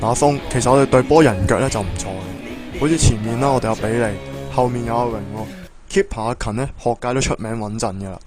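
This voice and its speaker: A man playing an earnest, encouraging school football coach in a radio drama, speaking Hong Kong Cantonese in a measured, persuasive way. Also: mid-range voice, lightly acted. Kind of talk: Hobby radio drama acting. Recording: music bed under the dialogue.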